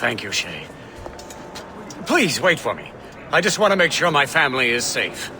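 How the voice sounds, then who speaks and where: A man's voice: medium pitch, big pitch swings, moderate pace, over music, natural voice. An actor playing a genteel, elderly statesman in a video game, courteous, flustered and hesitant. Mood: anxious, grateful. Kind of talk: scripted video game dialogue.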